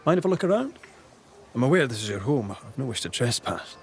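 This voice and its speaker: A man's voice: mid-range voice, sing-song, fairly quick, vocal fry. A man voicing a blunt, seasoned ghost hunter with a Scottish accent and a dry, probing manner in a video game. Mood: wry, blunt. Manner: dry, probing, plain-spoken.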